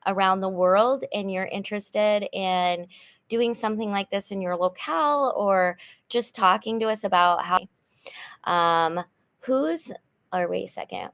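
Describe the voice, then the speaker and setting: A woman's voice: medium pitch, measured pace, a bit bright, no room sound. A middle-aged woman hosting a casual livestream chat, upbeat and chatty, with many fillers and restarts. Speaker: channel host, nonprofit volunteer. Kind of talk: casual livestream chat reading viewer comments.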